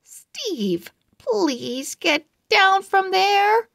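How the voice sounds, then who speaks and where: Woman voicing an earnest high-pitched little owl girl. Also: big pitch swings, extremely slow, gravelly, fairly bright tone, no echo, clean studio sound, exaggerated voice. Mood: pleading. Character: young schoolgirl owl, earnest, fretful pet lover.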